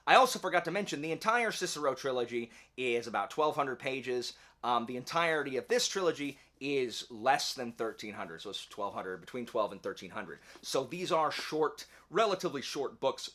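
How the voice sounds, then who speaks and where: Bright-voiced enthusiastic man vlogging. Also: on the high side. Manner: enthusiastic, conversational, emphatic. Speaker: book channel host. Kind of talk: casual vlog announcing readalongs, reading book blurbs aloud.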